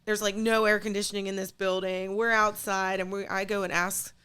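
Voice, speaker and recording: A woman with a raspy, slightly sick voice at a middling pitch chats casually as a guest. She is slangy and candid, and she acts out conversations as she tells her stories. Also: little pitch movement, a little fast, bright, forceful tone. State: sick with a cold. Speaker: guest, musician and wedding singer. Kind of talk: casual chat, candid storytelling.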